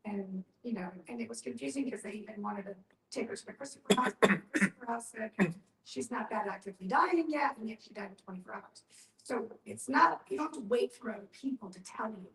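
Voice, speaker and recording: A woman's voice: a little high, a little fast, fairly bright tone. A woman speaking reflectively and explaining her view in a group discussion, repeating phrases for emphasis. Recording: echoey room, distant mic.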